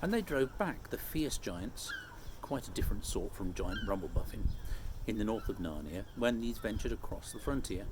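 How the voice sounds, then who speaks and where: A man reading a children's story aloud expressively, phrase by measured phrase. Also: lowish voice, very animated. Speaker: storybook reader. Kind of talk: expressive scripted story narration. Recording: faint background noise.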